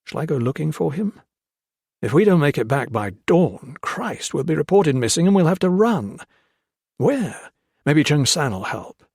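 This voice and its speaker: Man voicing a jittery young officer, rapid worried questions, raised pitch, dry close sound. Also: very animated, gravelly, a little mellow, slightly rough, no echo, exaggerated voice. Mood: anxious. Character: anxious young British officer, jittery and self-scolding.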